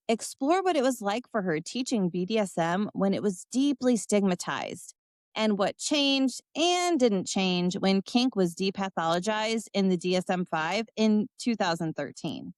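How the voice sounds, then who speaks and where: Woman host, even and clear presenter delivery, dry studio sound. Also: mid-range voice, no echo. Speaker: podcast host. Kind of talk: scripted intro, then interviewing.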